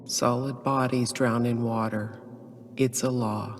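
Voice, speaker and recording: An actor playing a cold, proud emissary of higher powers, speaking with measured, dogmatic solemnity in a video game monologue. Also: male voice, little pitch movement. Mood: cold certainty. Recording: long hall reverb.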